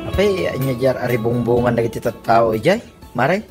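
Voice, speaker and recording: A curious village man, played by a male actor in a comedy radio drama. His Ilocano-accented delivery is animated and varied in pitch, over background music. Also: brisk, close to an everyday voice. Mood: inquisitive. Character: curious male villager asking about the commotion.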